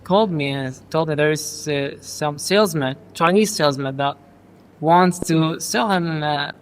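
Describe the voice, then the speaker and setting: A man's voice: fairly high pitch, measured pace, smooth, big-room sound. A male university English student who speaks Arabic natively, from Iraq, talking in a casual group discussion with frequent fillers. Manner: hesitant, many fillers.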